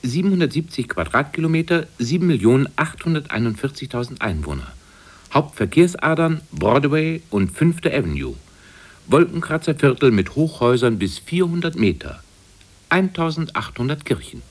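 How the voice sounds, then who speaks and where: An actor playing an encyclopedic announcer reeling off facts in clipped phrases in a 1950s radio drama, with a rough voice. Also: male voice, a little high, big pitch swings, moderate pace. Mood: brisk, factual. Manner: clipped, listing figures.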